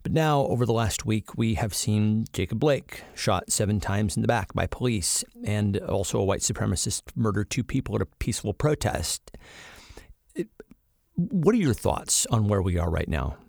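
A man hosting a podcast, reading a formal introduction and asking interview questions in a measured way. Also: lowish voice, lively intonation, vocal fry, darkish tone, dry recording, clean studio sound. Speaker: podcast host.

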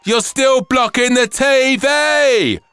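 A man voicing a spoilt girl who shouts and whines at everyone blocking her TV. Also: slow pace, smooth, dry recording, heavily acted. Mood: shrieking. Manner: shrill, shouting, whiny. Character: spoilt bratty girl glued to the TV.

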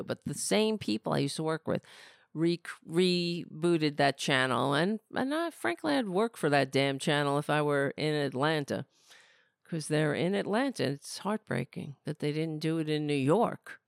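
A woman's voice: low-pitched, animated, normal speed, creaky, no echo, clean studio sound. A middle-aged woman hosting a podcast, losing her voice, talking in a rambling, sardonic, blunt way. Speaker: podcast host. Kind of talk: casual political commentary.

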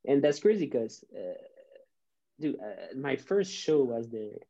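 A man in his thirties with a mellow, dark voice, telling stories in a loose, rambling way and restarting his sentences. The audio is dull and slightly muffled. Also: mid-range voice, sing-song, a little slow, creaky. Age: 30s. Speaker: musician, guest. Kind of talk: casual chat, storytelling.

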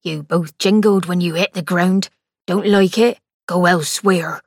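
Woman playing curt male morgue keeper, gravelly, dry close mic. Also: on the low side, fairly even intonation, measured pace, no room sound. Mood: surly. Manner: curt, blunt. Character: gruff, surly male morgue attendant.

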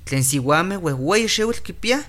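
An actor playing a calm clinic doctor in a radio drama, explaining and reassuring. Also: mid-range voice, a little slow. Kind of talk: radio drama narration and acting. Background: music.

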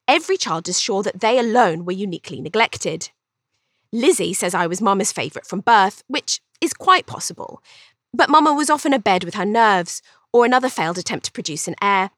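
A woman's voice: mid-range voice, expressive, a little fast, a bit bright, dry recording, studio quality. A woman voicing a cheeky, vain young Regency Englishwoman telling her own story in an arch, chatty, theatrical way for an audiobook. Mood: wry, confiding. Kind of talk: first-person audiobook storytelling.

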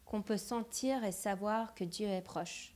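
Woman translating a sermon live, slow, stops and restarts a bit. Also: medium pitch, a little mellow. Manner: translating phrase by phrase with hesitations. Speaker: interpreter.

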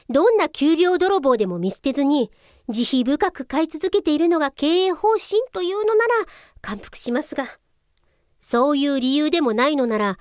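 Casting: actress as a young female tech inspector, high and lively voice, courteous wording with a cutting edge, comic drama. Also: no room sound, clean studio sound. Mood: sarcastic. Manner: crisply polite, sarcastic, explanatory. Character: polite but cutting young female office-software investigator. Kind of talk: comic audio drama acting.

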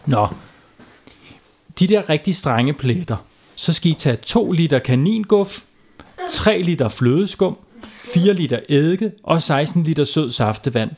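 A man's voice: a little high, lively intonation, a little mellow, pitched above the performer's own voice. A man voicing an overconfident doctor who hands out absurd tips with hesitant fillers. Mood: self-assured. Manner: hesitant then self-assured, fillers. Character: bumbling overconfident male doctor.

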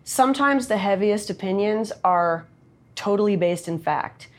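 Nasal, loud-voiced woman chatting candidly. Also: medium pitch, lively intonation. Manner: candid, chatty, quick asides, laughs easily. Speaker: songwriter and recording artist guest. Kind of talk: casual interview chat.

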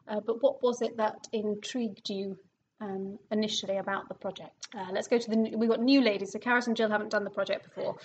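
A woman of thirty hosting a theatre podcast in a rehearsal room, chatty and quick to laugh. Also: medium pitch, fairly even intonation, lo-fi sound, slight background noise. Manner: chatty and giggly. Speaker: podcast host and theatre company director. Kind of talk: casual group interview.

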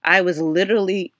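Slightly hoarse woman podcast host. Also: lowish voice, no room sound. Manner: many ums and restarts, faith-centered, earnest. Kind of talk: reflective solo podcast monologue.

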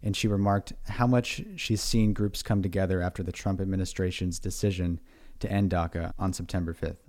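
A young man co-hosting and reporting on a news podcast, reading his script in a measured way. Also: low-pitched, fairly even intonation, darkish tone. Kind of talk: scripted podcast narration with banter. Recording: music bed underneath.

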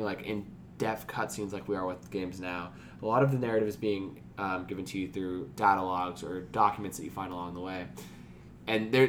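Male podcast co-host with a fairly low voice, casually analytical, recorded with audible background noise.

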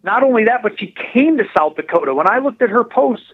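A man on a phone line, explaining and storytelling as an interview guest in an animated, emphatic, precise way. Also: extremely high pitch, fairly quick, breathy edge. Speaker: political blogger, phone-in guest. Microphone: telephone. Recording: dull, narrow phone line.

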